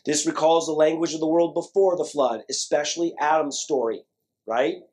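A man's voice: on the high side, fairly even intonation, normal speed, darkish tone. A man preaching a sermon, emphatic and repetitive, with frequent asides to the congregation. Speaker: pastor.

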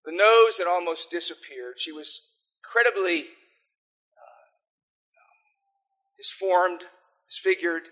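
A man's voice: high-pitched, slow, pauses often, fairly bright tone, rough recording. An older man with an American accent, a pastor preaching a sermon and praying earnestly. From South Africa. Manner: earnest, pleading in prayer. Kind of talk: sermon with opening prayer.

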